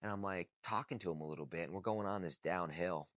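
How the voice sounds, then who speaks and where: A man around thirty with a deep, low voice talks straight to camera in a blunt, casual way. He swears freely and circles back on his points for emphasis. The sound is dry and close, with no room around it. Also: fast, a bit bright, no room sound. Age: 30s. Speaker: vlogger. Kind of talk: casual unscripted talk to camera.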